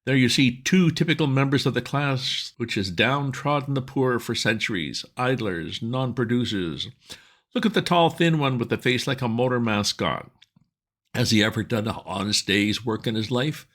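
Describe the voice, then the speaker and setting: A man voicing a bearded street-corner agitator who rants at a crowd in a loud, hectoring, declamatory voice. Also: medium pitch, normal speed, voice pushed up, natural voice.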